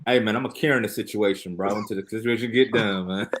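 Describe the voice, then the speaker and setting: A man's voice: on the low side, steady tone, fast talker, crowd noise. A man in casual chat who teases the others and laughs often. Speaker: co-host.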